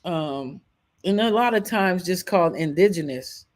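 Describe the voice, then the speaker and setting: A mid-pitched American voice hosts a casual live stream. The delivery is conversational and opinionated, with emphatic points and blunt profanity, like someone explaining history off the cuff. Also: expressive, measured pace. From United States. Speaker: live stream show host.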